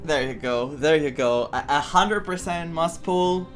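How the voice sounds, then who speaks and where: An excitable streamer comments casually on a story game. The voice sits at a middle pitch and leans dramatic, repeating phrases for emphasis, with faint game music behind. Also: unhurried. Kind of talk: casual gameplay reaction commentary.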